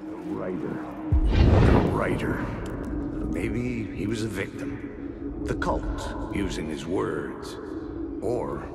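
An actor voicing a brooding hardboiled detective, narrating a murder case slowly and ominously in a video game. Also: male voice, low voice, heavy background noise, full character voice. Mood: grim. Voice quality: gravelly, breathy at times. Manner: slow, ominous, poetic. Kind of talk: noir scripted narration. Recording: loud music behind the voice.

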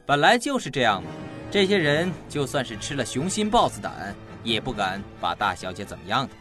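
A man's voice: mid-range voice, very animated, brisk, over music, lightly acted. An actor playing a sly, fawning henchman and shady informant, glib and wheedling, in an audio drama. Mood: boastful, fawning. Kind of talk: Chinese audio drama acting.

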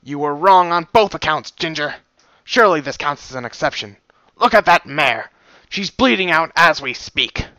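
A man voicing a gruff, commanding older unicorn stallion who scolds sternly, on a dull, lo-fi recording. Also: on the high side, normal speed, pushed and bright, no room sound.

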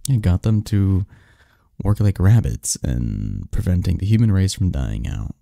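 Man playing low, mellow, snarky young academic. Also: very animated, gravelly, no echo, clean studio sound, exaggerated voice. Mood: wry, lecturing. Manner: wry, halting and chuckling, drifts into bookish lecturing. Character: snarky, cynical male research assistant in classics, nerdy, fond. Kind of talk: intimate ASMR audio roleplay.

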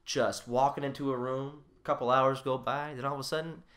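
A man hosting a casual podcast in an animated, joking, profane way. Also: mid-range voice, a little fast. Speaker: podcast host.

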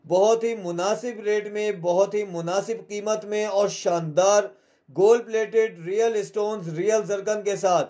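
High-voiced Pakistani man pitching sales. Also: a little mellow. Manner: repetitive, urging, salesman-like.

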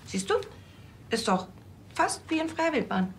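An actress playing a practical police colleague who talks casually and teases gently, in a TV crime comedy. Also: female voice, a little high, lively intonation, unhurried, slight background noise. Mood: upbeat. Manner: casual, teasing.

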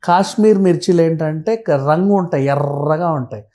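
A man's voice: a little high, moderate pace, warm tone. A man with a Telugu accent talking casually and explaining things through everyday examples and lists, mixing in English words.

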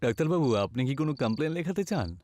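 A man playing a dismissive middle-aged police inspector in an animated horror story, measured in delivery, with loud music behind. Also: mid-range voice, noisy background, lightly acted. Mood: businesslike. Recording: loud music bed over the voice.

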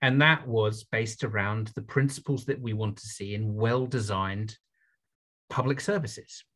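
A man's voice: fairly low pitch, a little slow, fairly bright tone. A middle-aged man speaking as a panelist on a video call through a headset, casual, with slang and fillers. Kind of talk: online panel discussion.

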